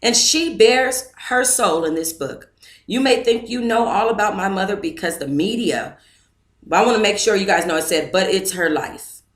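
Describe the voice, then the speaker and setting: Woman host reading a book aloud to a live audience, chatty between passages, mid-pitched, in an echoey room. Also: lively intonation, normal speed. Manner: casual, talks straight to viewers between read passages.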